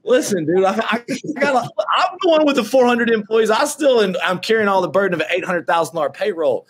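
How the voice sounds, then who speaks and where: A man of thirty-six in casual video-call chat from his office, brash and excitable, swearing freely and calling people dude and bro. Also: high voice, quick pace, husky. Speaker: roofing company owner and host. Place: office with shelves.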